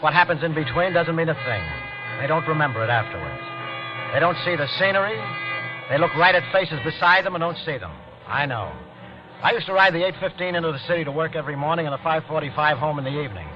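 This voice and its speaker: A man's voice: a little high, expressive, fast talker, natural voice. An actor playing a world-weary commuter who narrates his confession in a colloquial, brooding way for a 1940s radio drama. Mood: brooding, confiding. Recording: dull old 1940s radio recording, music behind.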